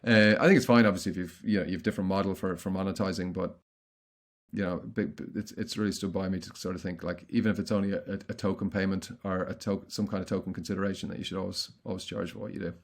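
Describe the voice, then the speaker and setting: Middle-aged male guest, rapid delivery, somewhat low voice, loose restarts. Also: fairly even intonation. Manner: quick, chatty, frequent restarts. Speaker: guest, content marketing consultant. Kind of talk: casual interview answers.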